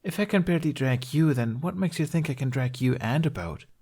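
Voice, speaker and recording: A man's voice: a little high, lively intonation, brisk, dark tone, breathy edge, pitched above the performer's own voice. A man voicing a caring, teasing young woman in a storybook, fretful and playfully chiding. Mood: playful exasperation.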